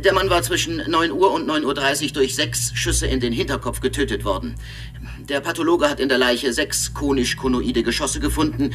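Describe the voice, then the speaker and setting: A man's voice: mid-range voice, fairly bright tone, lightly acted. An actor playing a literal-minded male police detective in a radio crime drama, reporting hesitantly in dry official wording.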